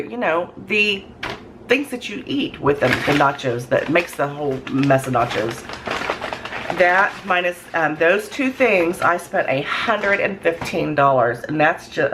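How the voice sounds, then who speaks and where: Hoarse, low-voiced woman. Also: a bit bright. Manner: rambling, chatty, folksy with many ums. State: hoarse from a cold. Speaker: cooking vlogger. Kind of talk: casual chat to camera. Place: home kitchen. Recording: noisy home recording.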